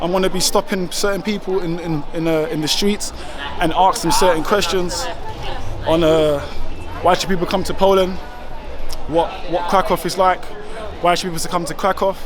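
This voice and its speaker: A man's voice: sits high, steady tone, moderate pace. A man from London with a London accent, chatting casually as a travel vlogger and street interviewer, informal, familiar and often repeating himself. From London, England. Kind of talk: casual vlog chat. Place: outdoors on a city street. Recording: noisy street, traffic and crowd noise.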